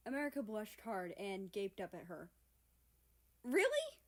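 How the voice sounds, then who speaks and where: Woman reading fiction out loud, narrator style, medium pitched voice. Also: lively intonation, normal speed. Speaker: fan fiction reader, narrator. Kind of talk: scripted story narration.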